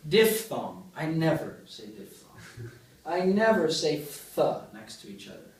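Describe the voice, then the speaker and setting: A high-voiced man teaches a university class, patiently building up an argument and checking in with students through questions. He is captured from across a very echoey lecture hall, sounding distant from the mic. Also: big pitch swings, unhurried. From Greece. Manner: explains step by step, asks the class questions. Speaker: linguistics lecturer. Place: large lecture room.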